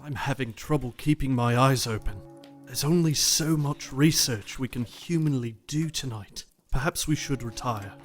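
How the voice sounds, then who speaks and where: An actor playing a polite, firm young gentleman who is gently insistent. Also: mid-range voice, moderate pace, few pauses, soft background music, close to an everyday voice. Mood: concerned, firm. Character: proper, concerned young English gentleman.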